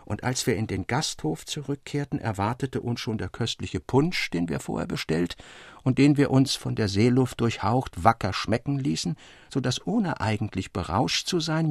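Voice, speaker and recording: A fervent young romantic confides in his friend in formal, old-fashioned prose. His mid-pitched voice is gravelly and rough-edged, given to rapture. It is a literary radio narration with a very dry studio sound. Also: no room sound.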